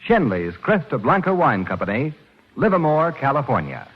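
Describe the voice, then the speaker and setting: Stately, lively male radio announcer. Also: mid-range voice, normal speed, husky. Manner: stately, polished, emphatic. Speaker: commercial announcer. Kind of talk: 1940s radio ad read. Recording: muffled old radio recording with music.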